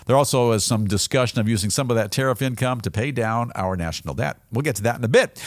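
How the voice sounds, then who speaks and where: A man's voice: medium pitch, no room sound, studio quality. An American man hosting a jokey news roundup in a folksy, playful, theatrical way. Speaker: news show host.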